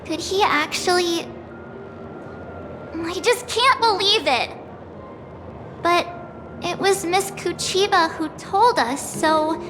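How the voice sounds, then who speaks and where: A woman voicing a cheerful, excitable young girl adventurer in a video game, bubbly and self-deprecating, with game music behind. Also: very high-pitched, heavily acted. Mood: worried.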